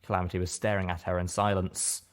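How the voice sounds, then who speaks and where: A man narrating a dramatic first-person story in an expressive, theatrical audiobook manner. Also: sits low, quick pace, clean tone.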